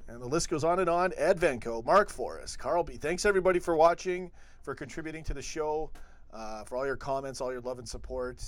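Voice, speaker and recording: A man's voice: a little high, brisk, dry recording. Laid-back man hosting a stream. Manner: laid-back, laughs often, reads out chat names. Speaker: host. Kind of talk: casual live stream chat.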